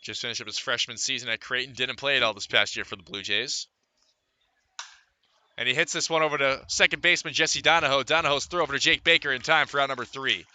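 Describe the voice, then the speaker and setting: A man does live radio baseball play-by-play in a very bright, forceful voice. His pitch sits in the middle, and he delivers each detail as sports commentary, clicking along from stats to action. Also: fast talker.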